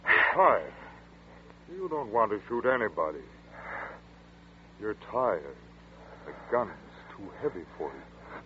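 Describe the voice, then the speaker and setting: A man playing a scheming hypnotist murderer in a 1940s radio drama, speaking in a slow, soothing, commanding murmur. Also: fairly low pitch, very animated, slight background noise. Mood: hypnotic. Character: cold scheming male hypnotist murderer, middle-aged. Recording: tinny old 1940s radio broadcast.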